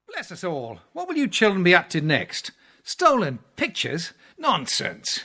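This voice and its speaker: A man's voice: high-pitched, wildly swooping pitch, unhurried, pushed and bright, full character voice. A man playing a blustering, impatient, protective father in a children's audio drama. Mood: indignant. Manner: exclamatory, impatient, no-nonsense.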